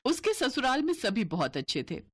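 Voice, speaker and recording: A woman's voice: on the high side, wildly swooping pitch, normal speed, fairly bright tone, husky. A woman narrating a scripted cartoon story as its storyteller.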